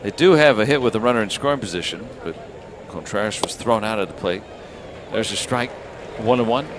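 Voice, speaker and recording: A man's voice: lowish voice, expressive, fairly quick. Man calling baseball over ballpark noise. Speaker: baseball play-by-play announcer. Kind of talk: live radio sports commentary.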